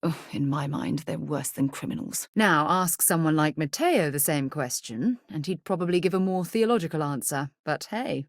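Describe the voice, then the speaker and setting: A woman playing a principled, earnest space explorer and leader in a video game, a husky voice and articulate, formal delivery. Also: sits low, expressive, normal speed, hardly any pauses, no room sound. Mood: indignant. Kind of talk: scripted video game companion dialogue.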